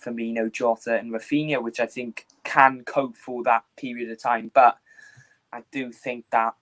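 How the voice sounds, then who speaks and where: Male football panel host, even monotone delivery, hesitant with fillers. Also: mid-range voice. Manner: flat, measured, hesitant with fillers. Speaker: panel host, Liverpool fan. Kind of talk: casual football chat.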